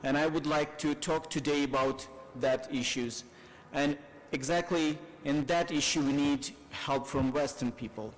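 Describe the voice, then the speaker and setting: A man's voice: medium pitch, slight background noise, low-quality recording. Earnest man speaking at a panel. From Afghanistan. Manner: earnest, emphatic, repeats words while searching for phrasing. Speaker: parliamentarian panelist. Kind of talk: conference panel speech.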